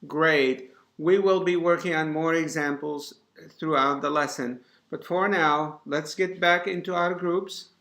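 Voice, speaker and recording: A man teaching a class, giving deliberate, encouraging instructions to students in an indoor room. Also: on the high side, steady tone, fairly bright tone. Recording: echoey room.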